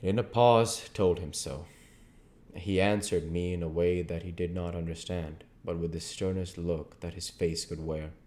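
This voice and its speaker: A man reading literary narration aloud as an amateur audiobook reader, in a measured, earnest manner. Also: low-pitched, fairly even intonation, darkish tone.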